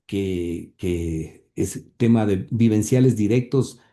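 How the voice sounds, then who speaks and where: Man, low dark mellow voice; earnest, hesitant interviewee with lots of fillers. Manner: halting, many fillers and repeated words; earnest, heartfelt. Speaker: interview guest, grandson and author. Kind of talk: conversational interview, reading quotes aloud.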